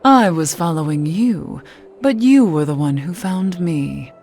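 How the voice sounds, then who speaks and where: A mysterious young witch, low and slow. She speaks gravely and with quiet drama, like a cursed soul in a fantasy game cutscene, over music. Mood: composed, cool. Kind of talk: video game cutscene acting.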